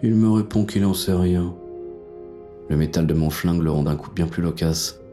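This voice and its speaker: Noir audio fiction lead: male actor as cynical wounded gunman on the run, very deep, French accent, terse and crude, music underneath. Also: normal speed, warm tone, natural voice. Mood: grim, cynical. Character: hard-boiled, cynical, wounded male fugitive with a gun. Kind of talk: first-person noir audio fiction narration.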